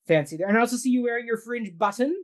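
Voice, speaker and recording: A woman hosting a casual theatre talk show, upbeat and quick to affirm, steering the conversation. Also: a little high. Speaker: host.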